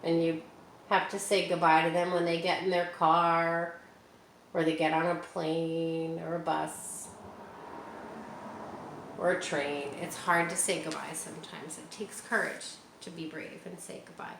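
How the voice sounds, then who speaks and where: A woman reading a picture book aloud to children, stopping often for gentle, chatty asides. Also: deep voice, level tone, measured pace. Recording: echoey room with faint hiss.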